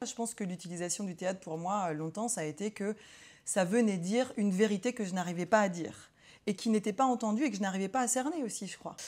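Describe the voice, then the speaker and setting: A woman psychologist in an interview, talking reflectively with many pauses and hesitant fillers. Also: mid-range voice, darkish tone.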